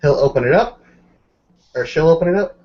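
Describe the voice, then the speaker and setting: A man's voice: on the high side, lively intonation, brisk, a little mellow. A man casually improvising narration as a player in a tabletop role-playing game. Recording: dull lo-fi audio.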